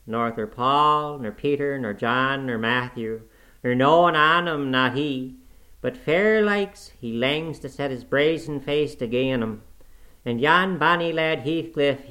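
A man voicing a dour, gossiping old Yorkshire servant, speaking slow, emphatic dialect in disapproval. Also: mid-range voice, fairly bright tone.

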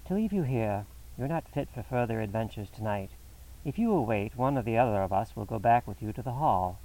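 A man reading an audiobook voices a cool, incisive detective in a crisp, measured manner. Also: fairly low pitch, lively intonation, mellow, recorded from a distance, natural voice, poor audio.

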